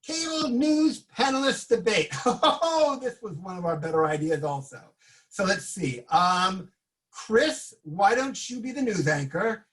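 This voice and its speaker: A man's voice: high voice, animated, unhurried, fairly bright tone, rough recording. A man hosting an improv comedy show, casually introducing ideas and handing out parts to players. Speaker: host of improv sketch show.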